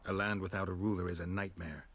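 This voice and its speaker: An actor playing a gruff, blunt, world-weary monster hunter in a video game, speaking tersely and dryly. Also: male voice, deep voice, flat delivery, fast talker, a bit bright. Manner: terse, dry, questioning. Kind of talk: video game dialogue acting.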